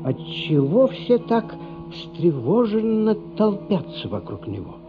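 Male narrator for radio drama: fairly high voice, unhurried, dramatic literary delivery. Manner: expressive, dramatic, deliberate phrasing. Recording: dull lo-fi radio play, music underneath. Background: music.

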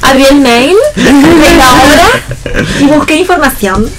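A woman's voice: sits high, a little slow. An Argentine woman talking casually as a panel member on a radio show. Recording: noisy radio studio recording.

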